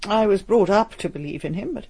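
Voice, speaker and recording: Husky, lilting lady. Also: medium pitch, expressive, a little fast, darkish tone. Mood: curious, modest. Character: curious, down-to-earth English lady novelist. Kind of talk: radio drama acting.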